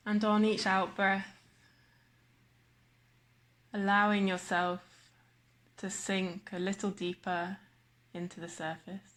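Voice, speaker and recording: A woman guiding a body scan meditation in a slow, measured manner with long pauses. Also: medium pitch, little pitch movement, a bit bright. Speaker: meditation guide.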